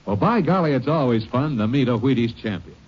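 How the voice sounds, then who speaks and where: A male American radio interviewer chats in a hearty, folksy scripted style. His middle-pitched voice is rough, and the old recording sounds dull. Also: animated, fairly quick, a little mellow. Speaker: commercial interviewer. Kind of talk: scripted 1950s radio ad banter.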